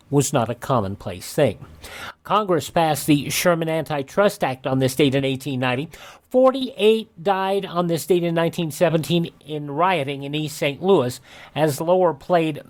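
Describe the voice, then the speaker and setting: A man hosting a radio program, reading through dated history notes and commenting on them. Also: on the high side. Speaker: radio show host.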